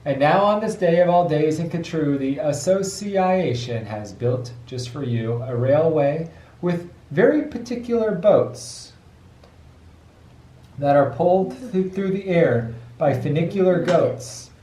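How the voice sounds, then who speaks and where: A man, a father reading a rhyming picture book aloud to a child in a playful, expressive way. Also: a little high, a little slow. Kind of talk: storybook read-aloud in rhyme. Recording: echoey room with light background noise.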